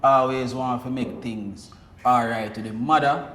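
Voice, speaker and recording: A man's voice: mid-range voice, steady tone, unhurried, fairly bright tone, slight background noise. A man with a Jamaican accent chatting casually and jovially as a podcast guest. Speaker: guest, pantomime actor. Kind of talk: casual chat. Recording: roomy echo, clear music behind.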